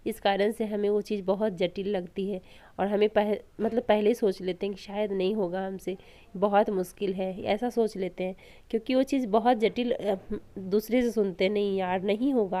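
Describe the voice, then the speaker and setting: A young woman speaks hoarsely through a sore throat, offering earnest, encouraging advice on studying. She talks at a steady mid pitch, with frequent restarts, and the recording is close and dry with no room sound. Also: moderate pace, no room sound. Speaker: vlogger studying for exams. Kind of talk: casual motivational talk to camera.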